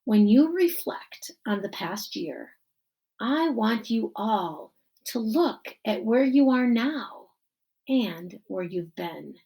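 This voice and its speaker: Woman reading as emphatic, creaky-voiced male school principal. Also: fairly high pitch, mellow, exaggerated voice. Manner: measured, emphatic public-speaking delivery with deliberate pauses. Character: middle-aged male school principal giving warm, earnest graduation speech. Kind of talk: children's novel read-aloud.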